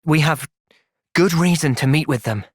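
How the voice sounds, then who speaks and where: A man with an English accent voicing an earnest, thoughtful young soldier hero in a fantasy video game, speaking in a measured, reflective way. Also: fairly high pitch, husky, dry recording, studio quality. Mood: calm, thoughtful. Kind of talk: video game cutscene acting.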